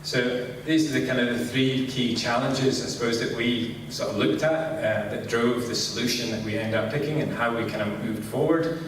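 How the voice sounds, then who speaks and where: A middle-aged man from Scotland with a Scottish accent, giving a relaxed conference talk and saying "kind of" constantly. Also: mid-range voice, moderate pace, hardly any pauses, crowd noise. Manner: relaxed, filler-heavy, says "kind of" constantly. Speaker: conference speaker. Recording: echoey hall, distant mic.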